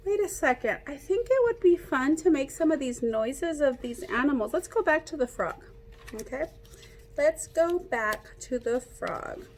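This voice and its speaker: A woman reading a picture book aloud like a teacher, pausing to explain and ask young children questions. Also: high-pitched, expressive, slight background noise. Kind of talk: interactive children's read-aloud.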